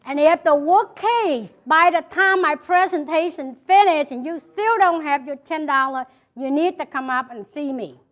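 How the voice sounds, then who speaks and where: A woman's voice: high-pitched, normal speed, hardly any pauses, fairly bright tone. A woman from South Vietnam with a Vietnamese accent, giving an emphatic motivational speech to a live audience and repeating key phrases. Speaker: motivational speaker. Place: event hall before an audience.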